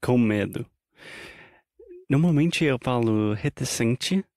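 Slow-talking man co-hosting a language podcast. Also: medium pitch, expressive, darkish tone, no echo, studio quality. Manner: asks questions and checks understanding. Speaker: podcast co-host. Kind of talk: casual language-teaching chat.

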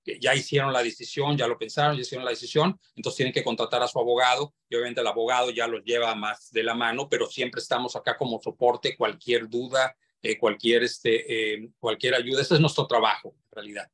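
A man's voice: medium pitch, fairly even intonation, a little fast, fairly bright tone. A man from Mexico, a developer's representative explaining informally on a video call, often searching for words. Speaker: property developer's representative, webinar guest.

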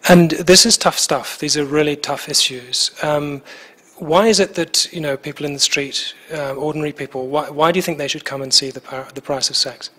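A man's voice: a little high, steady tone, normal speed, a bit bright. Man, middle-aged, interviewer, polite, hesitant fillers, handheld mic, small indoor room. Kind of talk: interview questions.

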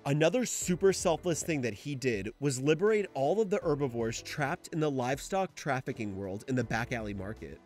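A man narrating a scripted video essay in an enthusiastic, informal way, with casual asides and some swearing. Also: medium pitch.

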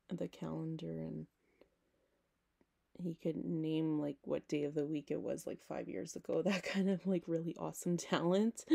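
A young woman talking casually to her camera at home, hoarse, rambling with lots of "like" and self-corrections. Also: sits low, mellow. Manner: rambling, self-interrupting, frequent "like". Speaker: vlogger. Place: small home room, indoors.

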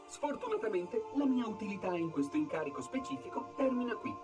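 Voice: mid-range voice, sing-song, brisk, recorded from a distance, over music, lightly acted. A performer voicing a fussy, officious robot caretaker in a video game dub, formal and pedantic. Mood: brisk, officious. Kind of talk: dubbed video game cutscene acting.

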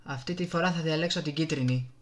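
A man with a Greek accent giving casual, exasperated gameplay commentary, with some swearing, into a desktop microphone. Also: fairly high pitch. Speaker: gaming video host. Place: indoors at a computer.

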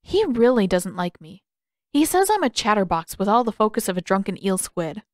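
A woman's voice: medium pitch, animated, normal speed, no echo, studio quality, close to an everyday voice. A woman voicing an eager, naive, chatty young boy in an audiobook story. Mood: hesitant, dejected. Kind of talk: character dialogue in audiobook narration.

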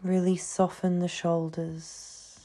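Slow, low-voiced woman guiding meditation. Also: little pitch movement. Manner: soothing, hushed, unhurried with long pauses. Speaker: meditation guide. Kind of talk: guided meditation, slow scripted narration.

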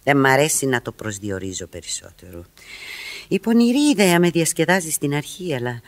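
Coy, animated society wife. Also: female voice, sits low. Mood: musing, dramatic. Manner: coy, teasing, theatrical. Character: flirtatious, proud married society woman, coyly virtuous. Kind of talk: Greek radio theatre acting.

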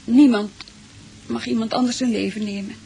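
A woman playing an earnest, troubled wife in a radio drama, speaking haltingly. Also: a little high, steady tone, vocal fry, warm tone, slight background noise.

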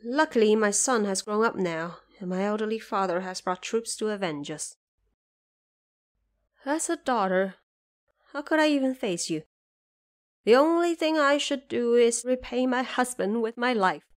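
A woman's voice: on the high side, lively intonation, normal speed, lightly acted. Sorrowful noblewoman played by a female narrator, formal and ashamed, a devoted mother in an audio drama. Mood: anguished shame.

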